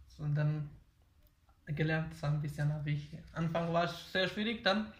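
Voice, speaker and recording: A man's voice: fairly high pitch, a little slow, roomy sound. A nineteen-year-old man from Afghanistan whose first language is Pashto, answering interview questions hesitantly with many fillers. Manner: hesitant, frequent fillers and restarts. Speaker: interviewee, German learner. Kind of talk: interview about learning German.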